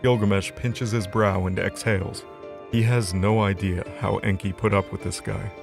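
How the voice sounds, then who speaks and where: Low-pitched male storyteller over music. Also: fairly even intonation, normal speed, gravelly. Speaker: narrator. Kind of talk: scripted audio drama narration.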